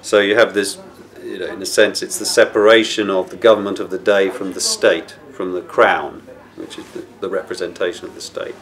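A middle-aged man, a broadcaster explaining things in a halting, reflective way in an interview. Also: low-pitched, level tone, fairly bright tone. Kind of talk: documentary interview.

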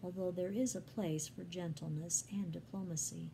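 Woman reading as diplomatic, measured male missionary. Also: lowish voice, steady tone, noisy background, natural voice. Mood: calm, conciliatory. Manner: measured, reasoning.

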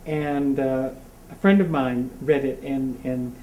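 Halting man, mellow voice, far off. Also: medium pitch, fairly even intonation. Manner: halting, many restarts and fillers. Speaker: audience member. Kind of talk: casual discussion. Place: community meeting room. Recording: echoey room from a distance, faint noise.

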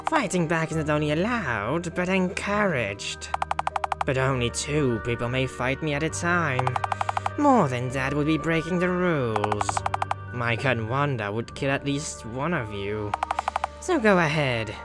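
A performer voicing a menacing raven-headed masked killer in a visual novel, speaking in a formal, coldly polite, measured way. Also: medium pitch, animated, over loud music, natural voice. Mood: threatening, calm. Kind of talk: visual novel villain voice acting.

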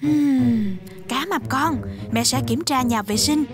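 A woman voicing a caring adult mother shark in a children's cartoon, gentle and reassuring. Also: high-pitched, takes it slowly, over music.

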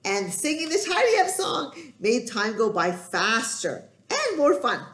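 Female children's storyteller, middle-aged. Highish, very animated, emphatic delivery in a roomy home recording. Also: measured pace. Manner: expressive, emphatic, sing-song delivery for young children.

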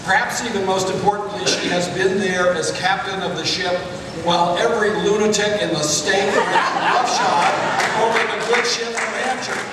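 A man's voice: high voice, steady tone, normal speed. A man in his sixties giving a jovial, emphatic introduction at a podium microphone in a large convention hall. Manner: jovial, emphatic, fist-pumping. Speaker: convention speaker introducing the next speaker. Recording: echoey hall recorded from far off. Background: loud crowd laughter and noise.

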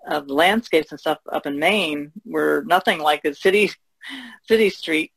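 A woman's voice: deep voice, normal speed, gravelly, a bit bright, husky. A woman on a podcast panel, casually discussing art.

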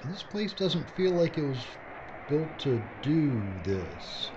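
A man's voice: fairly high pitch, very animated, slow. An actor, a man, playing a blunt, sarcastic, skeptical crew member in an audio drama. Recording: muffled, noisy background ambience.

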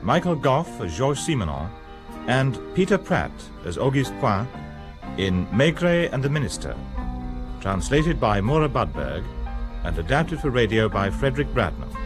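A man giving a formal, scripted radio programme introduction with clear phrasing. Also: medium pitch, very animated, normal speed, music in the background. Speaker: radio announcer.

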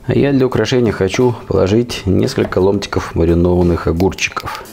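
A man in his forties, hoarse with a cold, giving casual, colloquial recipe instructions as a cooking show host. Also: deep voice, a little fast, warm tone. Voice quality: hoarse, husky. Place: home kitchen. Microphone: lavalier clipped to T-shirt. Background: guitar music.

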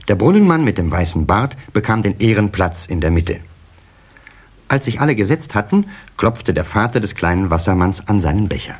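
Husky low-voiced storyteller man, very lively delivery. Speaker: narrator. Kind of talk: scripted storybook narration for a children's audio play.

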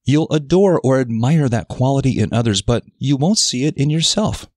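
A man reading a scripted self-help article as narrator, in a measured, deliberate, instructive way. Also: medium pitch, lively intonation, darkish tone, no room sound, studio quality.

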